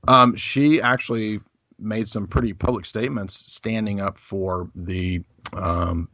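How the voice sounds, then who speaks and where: Deep gravelly-voiced man, rambling talk. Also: fairly even intonation, a little slow, pushed and bright, no room sound. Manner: rambling, many fillers and restarts. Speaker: guest. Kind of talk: casual podcast discussion.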